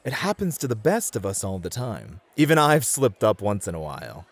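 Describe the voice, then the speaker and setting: An actor playing a beefy, experienced club host, a flirty and politely teasing charmer in a scripted audio roleplay. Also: male voice, lowish voice, very animated, brisk, dry recording. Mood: composed, explaining.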